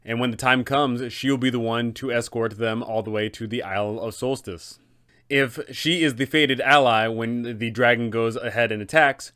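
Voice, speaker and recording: Smooth-voiced man explaining tabletop roleplaying. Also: medium pitch, fairly bright tone. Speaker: game master giving villain advice.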